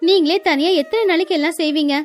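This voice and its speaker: A woman's voice: very high voice, fast talker, faint music behind. A woman voicing an earnest, dutiful young village daughter-in-law who speaks respectfully in a moral-story cartoon. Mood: earnest pleading.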